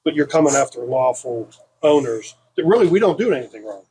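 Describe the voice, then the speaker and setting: A man's voice: medium pitch, big pitch swings, brisk, warm tone, rough recording. An American man co-hosting as a legislator, giving his opinions in casual chat. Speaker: state legislator and co-host. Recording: clear background noise.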